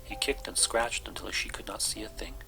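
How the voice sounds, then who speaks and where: A man narrates a children's storybook in a low register. His delivery is hushed, close to a whisper, in a soft bedtime style. Music plays clearly behind him. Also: fairly quick, low-quality recording. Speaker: storybook reader.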